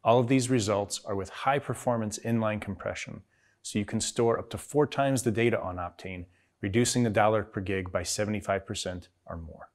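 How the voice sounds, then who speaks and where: A man's voice: on the low side, a little fast. A middle-aged man presenting a scripted keynote with a polished, promotional delivery. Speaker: company executive presenting a keynote.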